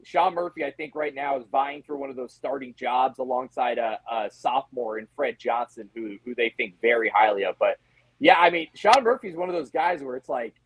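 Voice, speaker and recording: A man's voice: mid-range voice, moderate pace, slightly rough, poor audio. A man speaking as a guest sports reporter, giving casual analysis in a conversational way, often voicing rhetorical questions. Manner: conversational, talks in acted-out questions and asides.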